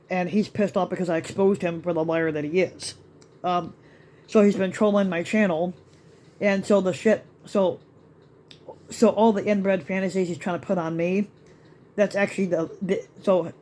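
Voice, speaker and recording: A man ranting in a rambling vlog to his channel, with many restarts and fillers, his voice still slightly raspy after being sick. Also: sits high, darkish tone, a little hiss or hum. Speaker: YouTube vlogger. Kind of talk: rambling rant to camera.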